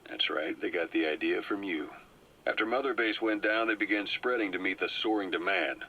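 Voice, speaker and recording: An actor playing a calm, well-informed agent who briefs a comrade in a measured, explanatory way. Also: male voice, deep voice. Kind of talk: video game cutscene voice acting. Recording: muffled cassette tape audio.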